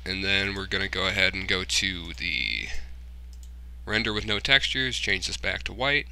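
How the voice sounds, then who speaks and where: A man giving a casual screen-recorded software tutorial, informally thinking aloud and muttering to himself as he works. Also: sits low, lively intonation, moderate pace, gravelly, clean tone. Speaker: tutorial host, hobbyist game developer.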